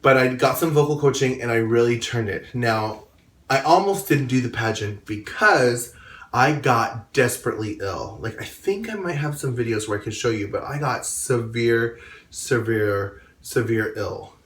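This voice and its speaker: A drag pageant performer talking straight to camera about a pageant, in a low baritone, candid and chatty with quick asides. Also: male voice, expressive, a bit bright, reverberant, far from the mic. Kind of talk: casual talking-head recap. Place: indoor room.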